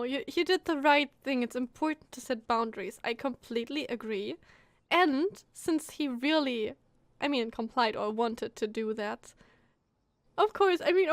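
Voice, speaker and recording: A woman's voice: high voice, moderate pace, dry recording. A woman streamer giving casual game commentary, thinking aloud in an empathetic way. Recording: game music behind the voice.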